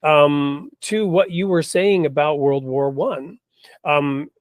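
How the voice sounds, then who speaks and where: A man's voice: fairly high pitch, slow pace, no echo. Reflective male host, dry close sound. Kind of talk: conversational hosting with poetry reading.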